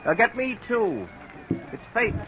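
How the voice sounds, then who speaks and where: A cynical gambler and armchair philosopher from an old radio drama. His voice is fairly high and sharp, world-weary and sardonic, on a faintly noisy vintage recording. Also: huge pitch swings, takes it slowly, full character voice. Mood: cynical, fatalistic. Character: cynical, philosophizing male gambler, prickly and loyal. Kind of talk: 1940s radio drama acting.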